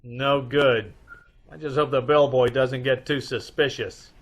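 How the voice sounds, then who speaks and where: An American man playing a wry, reluctant middle-aged gentleman detective in an old-time radio comedy, delivered deadpan. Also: medium pitch, measured pace, dull audio. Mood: dry, playful.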